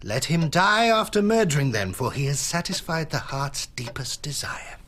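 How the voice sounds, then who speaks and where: An actor playing a severe, zealous intellectual, dogmatic and declamatory, in a radio drama. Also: male voice, on the high side, very animated, normal speed, fairly bright tone, a little hiss or hum. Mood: grim relish. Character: severe, zealous male intellectual, coldly morbid.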